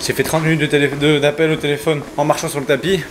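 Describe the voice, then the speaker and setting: A man's voice: fairly high pitch, level tone, quick pace. A young man, a nutrition coach, chatting casually in a vlog as he explains his food and daily steps. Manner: chatty, explaining step counts and food as he goes. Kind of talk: casual vlog chat to camera. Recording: faint background noise.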